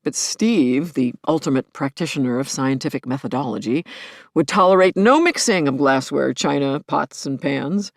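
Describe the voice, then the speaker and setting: A woman playing a middle-aged grieving widow in an audio play, telling her story as an intimate, expressive and reflective monologue. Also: rumbling low, moderate pace, dry recording, studio quality. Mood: fond, amused. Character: grieving widowed scientist, wistful, wry, tender, anxious. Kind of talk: intimate dramatic first-person monologue.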